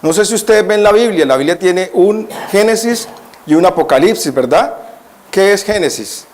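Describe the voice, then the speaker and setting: A man's voice: sits high, animated, normal speed. Nervous guest preacher, a man, questioning the flock. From Colombia. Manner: nervous at first, then emphatic and questioning the congregation. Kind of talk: church sermon.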